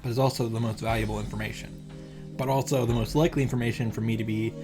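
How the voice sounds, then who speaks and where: A man reading a game script aloud and reacting off the cuff, halting and sometimes correcting himself as he goes. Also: medium pitch, fairly even intonation. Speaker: streamer reading and reacting to a visual novel. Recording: music playing behind the voice. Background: clear background music.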